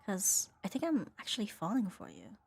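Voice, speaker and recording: A woman's voice: medium pitch, very animated, normal speed, darkish tone, exaggerated voice. A woman playing a young tomboy roommate, affectionate but flustered, in an intimate ASMR romance roleplay with a hesitant, teasing delivery. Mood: soft, affectionate. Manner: hesitant, teasing, breathy sighs and nervous laughs.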